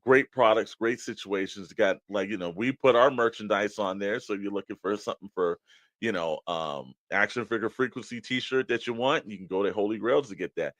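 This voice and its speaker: A man co-hosting a podcast, chatting casually and pitching straight to the listeners in a conversational way. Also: fairly quick, a bit bright, no room sound. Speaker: podcast co-host. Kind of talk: casual promotional podcast chat.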